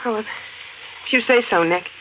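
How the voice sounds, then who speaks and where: A woman's voice: on the high side, normal speed, close to an everyday voice. A woman playing an anxious, trusting, hesitant wife in 1950s American radio drama style. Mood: frightened. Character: anxious, trusting young wife, easily frightened. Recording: dull, noisy 1950s radio recording.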